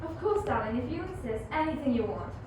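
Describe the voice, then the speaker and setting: A woman's voice: sits high, sing-song, fast, a little mellow. A young amateur woman playing a devoted, agreeable fiancee with theatrical delivery in a radio play. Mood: soothing. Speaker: amateur student performer. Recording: very echoey, distant, faint noise.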